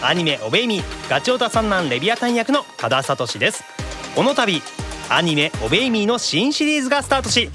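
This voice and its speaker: Male talk show host: high-pitched, very lively intonation, animated, quick to interject. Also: music in the background.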